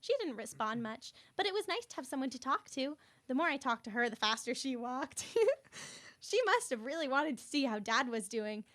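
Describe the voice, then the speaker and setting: Bubbly oblivious schoolgirl narrator for a radio serial, female actor, high-pitched and swooping. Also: lively intonation, normal speed, fairly bright tone. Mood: cheerful, oblivious. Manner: chirpy, oblivious, earnest. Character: bubbly self-absorbed schoolgirl narrating her own story. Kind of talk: campus radio serial drama acting.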